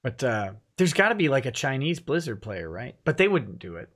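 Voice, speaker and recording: A man's voice: mid-range voice, very animated, a little fast, fairly bright tone. A man in his thirties hosting a live stream from a home studio, chatty and laughing often, reading viewer comments aloud into a headset mic. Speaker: host of a live stream show. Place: home office studio, indoors. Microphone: headset with boom mic.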